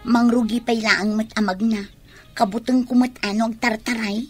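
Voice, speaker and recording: A woman's voice: on the high side, steady tone, moderate pace, soft background music, natural voice. An actress playing a bold, whiny, scheming young woman in an Ilocano radio drama. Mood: complaining.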